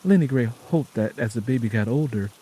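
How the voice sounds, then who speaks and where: A man narrating a scripted story dramatically, in a solemn and deliberate manner. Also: mid-range voice, expressive, dark tone, husky, low-quality recording.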